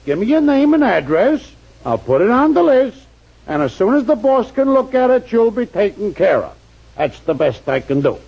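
A very high-voiced, swooping plumber's helper from old radio comedy. He is dismissive and folksy, with ungrammatical working-class talk, on a dull vintage recording. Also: sing-song, moderate pace, creaky, husky, full character voice. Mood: grudging, dismissive. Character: grumbling, brush-off plumber's helper, slangy working-class man. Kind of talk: 1940s radio comedy acting.

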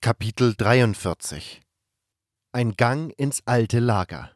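A man's voice: mid-range voice, lively intonation, takes it slowly, slight background noise. A man narrating a fantasy audiobook in the first person from a script.

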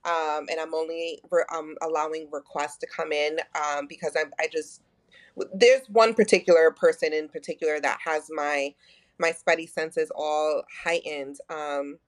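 A woman's voice: low voice, normal speed. A woman in her thirties with a Texan accent, talking openly as a podcast guest, full of fillers and acted-out quotes. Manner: open, animated, many fillers, acts out quotes. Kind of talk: candid conversational storytelling.

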